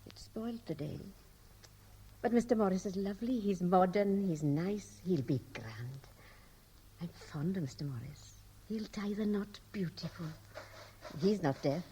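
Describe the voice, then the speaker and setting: An actress playing a fond, brisk, scolding middle-aged Welsh mother in a 1960s radio drama, with a lilting, homely way of talking. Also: on the low side, expressive, mellow, a little hiss or hum. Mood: fond, chatty.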